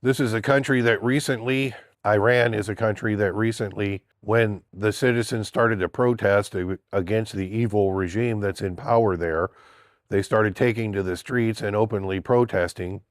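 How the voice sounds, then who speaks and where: A man's voice: lowish voice, steady tone, moderate pace, no echo, studio quality. An older man with a stuffy, congested voice from a cold, giving a blunt, indignant opinion monologue in a casual vlog. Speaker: political vlogger.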